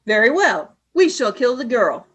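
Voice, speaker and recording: A woman voicing a cold, commanding female alien leader in a fan radio play, giving flat, clipped orders. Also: on the high side, normal speed, slightly rough, heavily acted.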